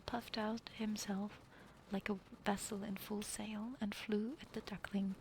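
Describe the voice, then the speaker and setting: A woman reading a fairy tale aloud in a soft whisper, pausing now and then to apologize. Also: mid-range voice, vocal fry, a little hiss or hum, rough recording. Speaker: reader.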